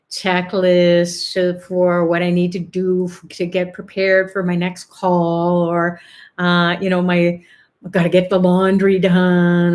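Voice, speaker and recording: A middle-aged woman hosting an online chanting and prayer call, chatting casually, reading out comments and laughing often. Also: lowish voice. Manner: upbeat, warm-hearted, laughs often, many fillers. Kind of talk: casual spiritual group chat, reading comments.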